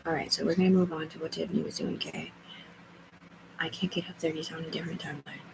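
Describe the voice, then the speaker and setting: A woman's voice: low voice, level tone, fast talker, vocal fry, background noise. A woman livestreaming, rambling as she reads aloud and makes asides to herself and her viewers. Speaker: live streamer.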